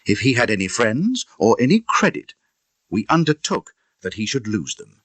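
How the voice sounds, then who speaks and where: An indignant Victorian gentleman, played by a male audiobook reader recounts a grim incident in a British accent. The voice is low and husky, swinging dramatically in pitch. It is closely recorded and dry, with emphatic conversational asides. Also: very animated, moderate pace, no room sound, heavily acted. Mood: vivid, indignant.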